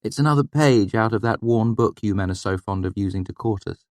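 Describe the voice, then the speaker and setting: A man's voice: lowish voice, fairly quick, a little mellow, no room sound, studio quality, pitched above the performer's own voice, lightly acted. A man voicing a sly, practical young woman in an audiobook, with a wry, teasing manner. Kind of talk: audiobook character voice.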